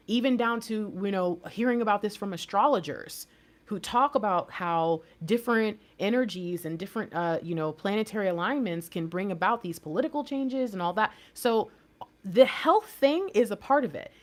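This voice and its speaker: A woman in her thirties from the United States gives a casual tarot reading at a middling pitch. She talks in a chatty, rambling way, often trailing off into asides, and laughs easily along the way. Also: lively intonation, moderate pace. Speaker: tarot reader.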